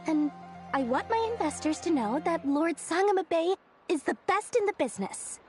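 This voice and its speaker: A woman's voice: extremely high pitch, animated, moderate pace, darkish tone. A woman voicing a shrewd, playful young businesswoman in a video game, breezy, self-assured and casually evasive. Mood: earnest. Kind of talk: video game story dialogue acting. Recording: music behind the voice.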